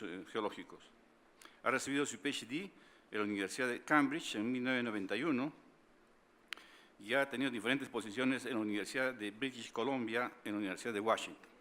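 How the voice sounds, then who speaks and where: Male moderator, formal intro with hesitant fillers, bright and sharp tone. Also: medium pitch. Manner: reads a bio with hesitant fillers. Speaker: conference moderator introducing the speaker. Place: conference hall.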